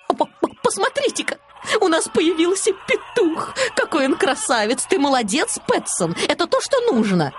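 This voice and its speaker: A woman voicing excited, fussy female hens in a children's story, with a clucking delivery. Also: high-pitched, normal speed, a little hiss or hum, pitched above the performer's own voice. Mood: excited admiration.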